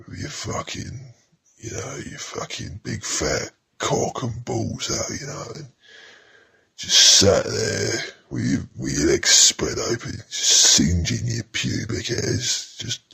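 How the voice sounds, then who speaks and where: A man playing a crude oddball who confides in a halting, rambling, casual way. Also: lowish voice, creaky, sharp tone, husky, full character voice.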